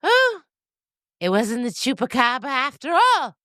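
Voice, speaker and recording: A woman's voice: high-pitched, sing-song, unhurried, bright, forceful tone, dry recording, studio quality, pitched above the performer's own voice, heavily acted. A woman voicing a tipsy, defiant nanny goat in a comic audio story, with bleating, dramatic declamation. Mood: startled, relieved. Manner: bleating, declamatory drunken speech.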